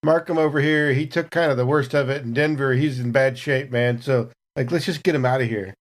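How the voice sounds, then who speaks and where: A man's voice: medium pitch, steady tone, fairly quick, close to an everyday voice. A man playing a laid-back, simple, friendly space marine with rambling, slangy banter in a tabletop roleplay. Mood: casual concern.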